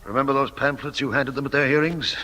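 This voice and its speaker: Blustering, echoing devil. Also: male voice, mid-range voice, fairly even intonation, quick pace, sharp tone. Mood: exasperated, ranting. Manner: imperious, blustering, exasperated. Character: furious, imperious devil, exasperated ruler of the damned. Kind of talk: 1950s satirical radio play acting. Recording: old radio recording with long reverb.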